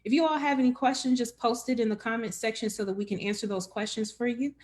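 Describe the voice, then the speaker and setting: A woman's voice: on the high side, steady tone, fast talker, darkish tone. Chatty woman hosting a live info session. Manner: friendly, chatty, many fillers. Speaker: enrollment director hosting.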